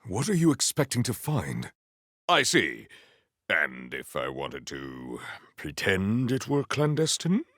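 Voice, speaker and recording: An actor playing a noble, earnest warrior hero in a video game, speaking in a pompous, formal and grandiose way. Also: male voice, low voice, huge pitch swings, takes it slowly, fairly bright tone, no echo, studio quality, heavily acted. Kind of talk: video game character voice acting.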